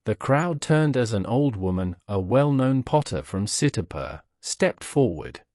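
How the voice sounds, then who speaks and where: A man's voice: medium pitch, big pitch swings, unhurried, mellow, no room sound, clean studio sound. A man narrating a scripted bedtime story as a storyteller.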